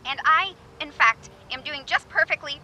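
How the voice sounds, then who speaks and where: A woman's voice: sits high, normal speed, pushed and bright, poor audio. A woman playing an awkward, flustered young radio advice host in a video game, rambling, correcting herself and dryly sarcastic. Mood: nervous, defensive. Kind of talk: naturalistic video game voice acting.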